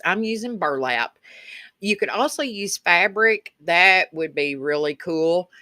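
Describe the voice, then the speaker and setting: A woman with a country Kentucky accent talks through a craft project in a voice-over. Her voice is bright and forward, sitting a little low, and the sound is close and dry. She comes across as folksy and chatty, like a host speaking to regular viewers. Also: dry recording. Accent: rural Kentucky Southern. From Kentucky, rural United States. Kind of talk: casual craft tutorial voice-over.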